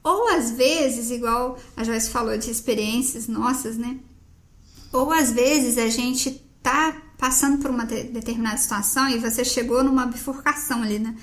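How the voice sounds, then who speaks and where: High-voiced woman explaining ideas. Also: normal speed. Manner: thoughtful, explaining ideas, frequent "né". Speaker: podcast co-host. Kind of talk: casual chat.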